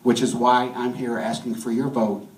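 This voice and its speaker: A man's voice: mid-range voice, little pitch movement, moderate pace, very noisy. A man from Illinois reading a prepared statement as a candidate for mayor, earnest and a little stumbling. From Peru, Illinois. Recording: echoey hall from far off.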